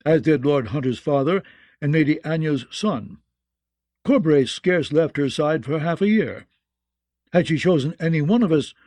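A man's voice: a little high, a little mellow, no room sound, close to an everyday voice. A man voicing an earnest, outspoken lord who speaks formally and emphatically in a fantasy audiobook. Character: loud, earnest lord defending a rival's claim. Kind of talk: fantasy audiobook character acting.

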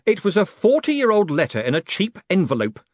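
A man voicing a postmaster in an audiobook, smooth-talking, snappy and sardonic, with a commanding manner. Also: fairly high pitch, huge pitch swings, fairly quick, dry recording, highs cut off, pitched above the performer's own voice, full character voice. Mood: exasperated. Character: brisk, exasperated, smooth-talking male postmaster.

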